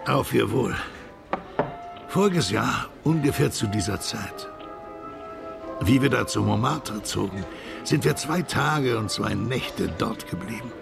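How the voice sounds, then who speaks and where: An actor playing a worldly, sentimental, garrulous émigré drinking companion in a radio drama, with a gravelly, conversational delivery. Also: male voice, lowish voice, lively intonation, slow, long pauses, music in the background, full character voice. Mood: garrulous, tipsy ease. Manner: conversational, expansive, rhetorical asides.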